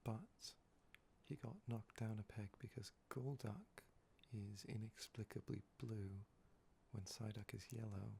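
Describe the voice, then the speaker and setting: Slow, gravelly, hoarse man half-whispering. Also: fairly low pitch, warm tone, a little hiss or hum. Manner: rambling, halting, with many fillers. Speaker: ASMR creator. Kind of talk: soft-spoken ASMR talk, whispering.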